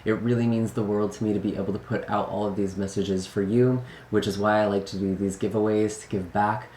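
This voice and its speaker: A man hosting a tarot channel, speaking in a gentle, earnest way with frequent fillers, his voice slightly raspy after being sick. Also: fairly low pitch, steady tone, a little fast, reverberant, a little hiss or hum. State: recovering from illness. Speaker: tarot reader. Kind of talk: casual channel intro.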